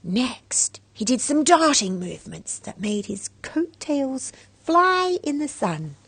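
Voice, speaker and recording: Woman reading a kids book out loud, slow n expressive, bit of creak, very close dry sound. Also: high voice, dry recording. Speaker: storybook reader. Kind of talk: expressive children's story narration.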